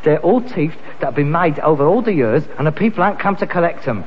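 An actor playing a boastful, exasperated son who deals in rag and bone, emphatic and cajoling, with a working-class London accent in a British sitcom. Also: male voice, on the high side, animated, fast, natural voice. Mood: cajoling salesman. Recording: muffled, reverberant, hissy vintage sitcom recording.

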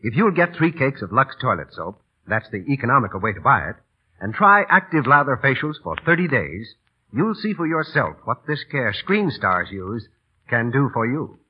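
A man announces in vintage radio advertising style. His delivery swings widely in pitch, and his voice has a husky edge. The sound is dull and aged. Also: sing-song, normal speed. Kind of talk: 1930s radio ad read. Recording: muffled old 1930s radio recording.

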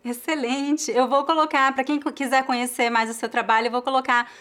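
High-voiced enthusiastic Brazilian woman. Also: steady tone, fairly quick, a bit bright. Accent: Brazilian Portuguese. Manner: enthusiastic, encouraging exclamations. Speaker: host, Portuguese teacher. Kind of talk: interviewing a student.

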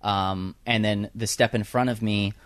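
A man's voice: fairly low pitch, fairly even intonation, measured pace, smooth, no echo. A young man with a young-sounding voice, chatting casually as an interview guest about radio production work, full of fillers and restarts. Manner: rambling with many fillers and restarts.

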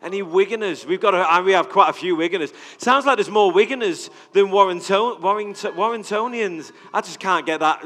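A man's voice: high-pitched, pushed and bright. A middle-aged man with a Liverpool accent preaching in a chatty way, asking the crowd questions. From England. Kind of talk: conversational sermon. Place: church hall with audience. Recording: reverberant hall.

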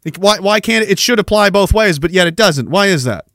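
Sarcastic Canadian podcast host, higher voice. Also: male voice, animated, brisk, a bit bright, no echo. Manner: sarcastic, rhetorical questions. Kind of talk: ranting commentary over a played clip.

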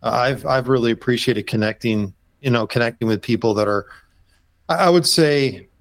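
A man's voice: medium pitch, moderate pace, no room sound. A man guesting on a podcast as a habitat consultant, talking in an easygoing, rambling way. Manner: easygoing, rambling, lots of "you know". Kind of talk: casual podcast interview.

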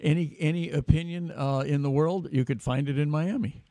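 Host: gravelly, warm-toned man, halting delivery, dry close phone sound. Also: a little high, fairly even intonation, dry recording. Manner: halting, hesitant, many fillers. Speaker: interviewer. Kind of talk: casual interview.

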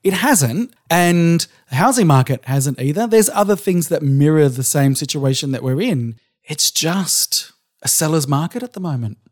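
An Australian man with a voice set a bit high for a man, pressing his case in a casual podcast debate. He is insistent and keeps asking for proof, with casual swearing mixed in. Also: lively intonation, moderate pace. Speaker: podcast co-host.

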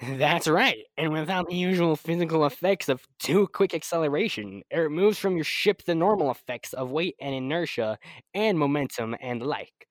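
A young man playing a proud, excitable scientist-inventor in old-time radio serial style, with a fussy, halting, stammering manner. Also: on the high side, no echo, lightly acted. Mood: pleased, proud. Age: young adult. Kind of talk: 1930s radio serial acting.

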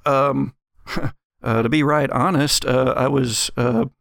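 A man's voice: medium pitch, fairly even intonation, takes it slowly, vocal fry, fairly bright tone, no room sound, clean studio sound. A man playing an affable, hesitant Texan drive-in owner in his forties who stammers and rambles in an improvised horror role-play. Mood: sheepish.

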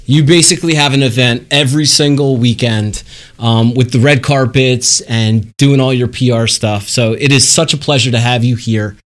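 Middle-aged American male co-host, medium pitch, informal chat with halting starts to questions. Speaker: radio show co-host. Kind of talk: casual interview chat.

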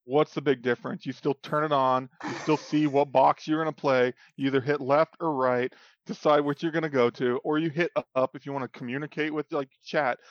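A man co-hosting a podcast, chatting casually, teasing his friends and laughing often. His voice sits a little high. It is recorded dry and a bit dull. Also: steady tone, brisk, few pauses, no room sound. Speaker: podcast co-host. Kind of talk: casual chat.

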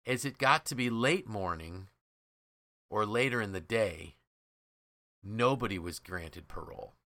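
A male podcast host with a fairly low, bright and forceful voice. He explains things conversationally, leaning on key words for emphasis, in a dry, close sound. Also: lively intonation, unhurried, dry recording.

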